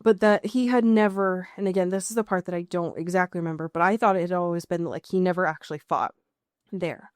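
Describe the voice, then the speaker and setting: A woman's voice: lowish voice, steady tone, fast talker, a little mellow, no echo, studio quality. An American woman co-hosting a casual recap podcast, emphatic and sweary, often rambling and correcting herself as she goes. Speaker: podcast co-host. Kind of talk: casual TV and film recap chat.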